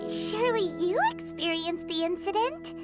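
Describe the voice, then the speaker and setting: A woman voicing a tiny, childlike fairy girl companion in a video game, curious and pushy, with a squeaky, childish delivery. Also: normal speed, over loud music. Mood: earnest, curious. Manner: squeaky, childish, refers to herself by name. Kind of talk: scripted video game character acting.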